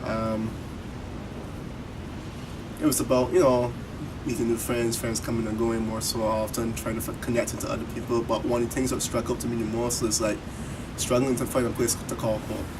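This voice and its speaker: A young man with a Barbadian accent, a musician guest in a casual interview, talking in a relaxed, rambling way full of restarts. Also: medium pitch, moderate pace. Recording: distant mic with background noise.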